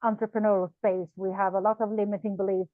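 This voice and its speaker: A woman's voice: mid-range voice, flat delivery, fast talker, no echo, phone-quality sound. A woman from Sweden, a non-native English speaker, hosting an interview and talking in a thoughtful way, often repeating words as she searches for them. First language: Swedish. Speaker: podcast host. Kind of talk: conversational interview.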